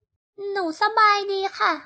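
A woman's voice: takes it slowly, pushed and bright, no room sound, clean studio sound. A woman voicing a polite young girl duckling in a children's storybook, with a childlike way of speaking. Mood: subdued, reluctant.

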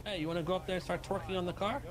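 A man's voice: high voice, steady tone, very fast, a crowd in the background. A man bantering casually during an online roleplay game, heard as clip audio.

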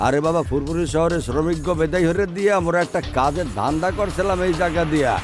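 Guy voicing sneery small time gangster in a cartoon, bit high pitched, street talk, music under. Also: quick pace, natural voice. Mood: menacing. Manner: menacing, colloquial street talk. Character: sneering male small-time gang boss. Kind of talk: cartoon dub acting.

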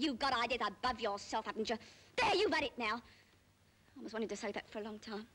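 Brassy young woman, high-pitched. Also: fast. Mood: scornful. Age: young adult. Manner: sharp-tongued, taunting. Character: young, brassy, scornful good-time girl. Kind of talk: 1940s British film acting. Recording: faintly noisy old film soundtrack.

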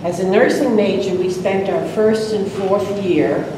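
Elderly woman, unhurried reminiscence, picked up far from the mic in a noisy room. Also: mid-range voice. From Long Island, New York. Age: 80s. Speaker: college alumna, audience speaker. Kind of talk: personal reminiscence to an audience. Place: lecture room with seated audience. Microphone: distant camera microphone. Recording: echoey room, distant mic, clear noise.

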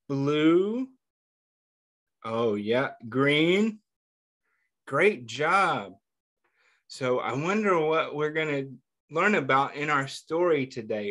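A man reading a picture book aloud to young children, pausing often to ask them questions and praise their answers, and calling himself by name in the third person. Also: fairly high pitch. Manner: gentle, patient, asks questions and pauses for answers. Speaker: children's story reader.

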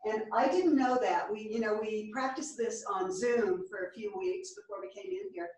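A woman's voice: fairly high pitch, lively intonation, normal speed, darkish tone. A woman, a stage actress, talking informally about the part she played. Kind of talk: informal post-performance reflection. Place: small theatre stage, distant camera. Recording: very echoey theatre, far from mic.

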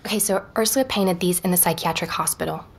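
A curious, persuasive woman podcaster in an audio drama. Her voice sits a little low. She is reflective and measured, sounding natural in both narration and conversation. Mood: keen. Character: inquisitive, persuasive woman podcaster researching a painter. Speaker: podcast narrator and lead character.

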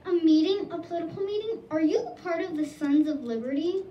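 A woman's voice: very high-pitched, fairly quick, muffled recording, slight background noise. A woman playing an inquisitive news reporter who questions passersby and hands over to a colleague, in an echoey room recorded from a distance. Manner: inquisitive, presenting. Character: eager female news reporter.

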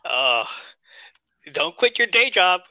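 A man talks wrestling history on a podcast in a bright, clear voice, recorded dry and close. He is chatty and jokes as he runs through his notes. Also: high voice, very animated, slow, no room sound. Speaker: podcast co-host. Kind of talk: casual wrestling review chat.

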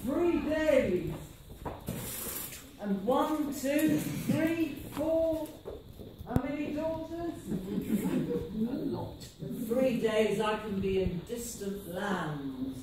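A woman playing a wary, flattering marriage broker in an amateur stage pantomime, with halting theatrical delivery. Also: on the high side. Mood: worried, wondering. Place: village hall stage, indoors. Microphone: distant camera in the audience. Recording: distant, echoey hall with audience noise.

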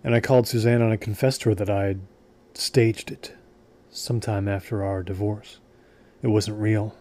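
An actor playing a haunted, obsessive, embittered man in an audio drama, speaking in a halting, deliberate way. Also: on the low side, flat delivery, darkish tone, lightly acted. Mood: weary, confessional. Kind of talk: audio drama acting, brooding confessional storytelling.

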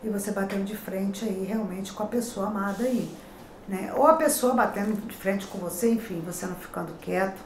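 A woman's voice: medium pitch, brisk, darkish tone. A middle-aged Brazilian woman with a Rio accent reading daily horoscopes to camera, warning and advising in a chatty manner, calling out each sign. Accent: Rio de Janeiro Portuguese. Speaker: tarot reader and horoscope host. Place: pink-walled room at a table with cards. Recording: echoey room, faint noise.

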